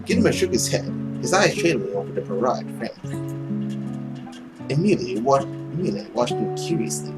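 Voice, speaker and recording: A man's voice: medium pitch, moderate pace, rough recording. A man hosting a let's play of a visual novel, narrating and reacting expressively. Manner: expressive, swearing freely. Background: music with plucked strings.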